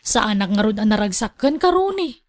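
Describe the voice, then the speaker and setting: A woman's voice: mid-range voice, very animated, brisk, no echo, natural voice. A woman acting a headstrong, carefree young single mother in an Ilocano radio drama, defiant and dismissive. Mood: firm, curt.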